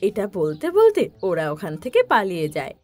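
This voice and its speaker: A woman's voice: fairly low pitch, wildly swooping pitch, a little fast, faint music behind. A woman reading scripted narration for a children's story.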